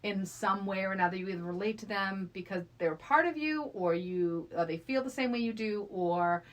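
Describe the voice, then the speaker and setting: Middle-aged female podcast co-host, mid-pitched voice, casual film talk with playful, teasing asides. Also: normal speed. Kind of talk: casual movie discussion.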